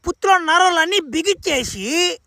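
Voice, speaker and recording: A vengeful old grandfather from a homemade cartoon, played by a man, high and very bright in tone, with a dry sound. He is crude, colloquial and insistent as he urges the listener on. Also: animated, a little slow, gravelly, dry recording, full character voice. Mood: instructing. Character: scheming, foul-mouthed old grandfather, vengeful and urging. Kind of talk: amateur cartoon dub.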